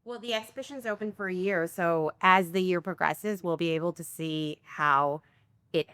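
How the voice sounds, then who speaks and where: A woman's voice: on the low side, moderate pace, a bit bright, clean tone, no echo. A woman interviewing an artist, asking questions in a conversational way.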